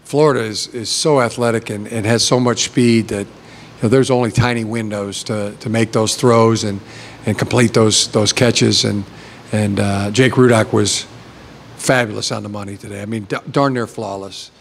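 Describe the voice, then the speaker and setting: A man's voice: fairly low pitch, fairly even intonation, normal speed, gravelly, a little hiss or hum. A middle-aged man, a head coach, answering press questions with many restarts and fillers at a table mic. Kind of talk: postgame press conference answers. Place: press conference room with backdrop.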